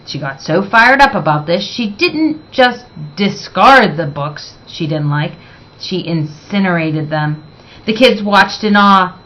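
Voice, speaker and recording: A woman reading a picture book aloud in an expressive, deliberate way, stressing words for young listeners. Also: fairly low pitch, highs cut off. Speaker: children's story reader.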